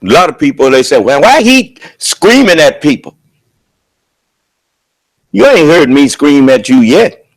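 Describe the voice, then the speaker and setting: A man preaching a sermon at a prayer service in a loud, booming voice, driving his points home with rhetorical questions. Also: big pitch swings, measured pace, long pauses.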